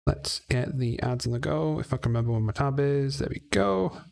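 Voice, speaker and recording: A man's voice: medium pitch, fairly quick, vocal fry, darkish tone, no room sound. A man from Wales hosting a tabletop game stream, chatting casually and signing off the broadcast. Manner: chatty, thinks aloud while wrapping up. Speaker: stream host and player. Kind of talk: casual chat and stream sign-off.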